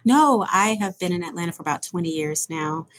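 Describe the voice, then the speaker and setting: A woman from Los Angeles and Salt Lake City, explaining her work in a measured way as an interview guest on a video call. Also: on the low side, lively intonation, a bit bright. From born in Los Angeles, raised partly in Salt Lake City. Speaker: guest, company president. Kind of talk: remote interview answers.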